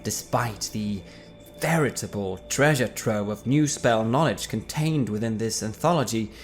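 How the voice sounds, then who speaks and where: Measured low-voiced man, wry storyteller. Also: faint music behind. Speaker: player reading character backstory. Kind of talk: dramatic reading in character.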